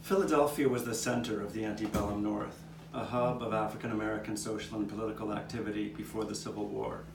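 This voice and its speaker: A man playing an old street cat who reminisces in a measured, reflective way, as scripted narration for a live puppet show. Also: on the low side, level tone, over music, natural voice. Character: old male street cat, reminiscing storyteller with nine lives. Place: outdoor courtyard. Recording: distant, echoey live taping with background noise.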